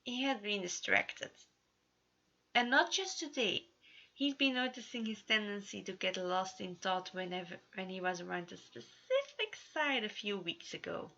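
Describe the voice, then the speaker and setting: A woman narrates a written story in a bright, forceful voice. She reads as an amateur storyteller, on a slightly dull home recording. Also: mid-range voice, lively intonation, normal speed. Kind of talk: scripted fanfiction narration. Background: no background atmosphere.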